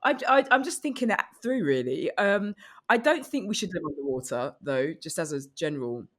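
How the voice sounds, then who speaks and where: Female comic panellist, on the low side, highly animated, halting as she thinks aloud. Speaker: comedy podcast guest. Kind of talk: casual comic riffing.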